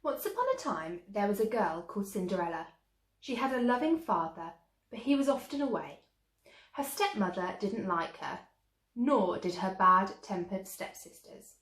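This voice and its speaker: A woman's voice: medium pitch, expressive, moderate pace, a bit bright, slight background noise. A woman storyteller reading a children's tale expressively, like a teacher to young listeners. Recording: echoey room.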